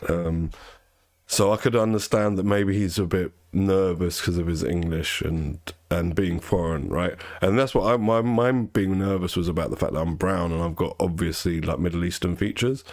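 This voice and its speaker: A young man with a notably low, nasal voice chats loosely to his viewers while gaming. He rambles, restarts his sentences and corrects himself as he goes. The sound is dry, with no room to it. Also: fairly even intonation, moderate pace, darkish tone, dry recording. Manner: rambling, self-correcting, chatty. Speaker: game streamer. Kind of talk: casual chat while gaming.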